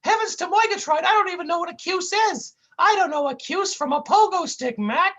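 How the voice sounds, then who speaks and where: A man's voice: fairly quick, pushed and bright, muffled recording. A man voicing a dim, clumsy, chubby male comic sidekick with a whiny, folksy way of talking. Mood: goofy, whiny. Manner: squeaky, whiny, folksy slang.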